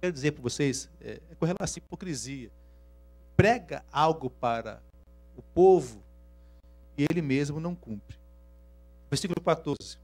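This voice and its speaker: A man from Rio with a Minas Gerais accent, preaching a sermon, swinging from hushed asides to loud, drawn-out emphasis. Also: on the high side, animated. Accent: Minas Gerais Portuguese. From Rio de Janeiro, Brazil. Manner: shifts from hushed asides to loud, slow emphatic scripture reading. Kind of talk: sermon to a congregation.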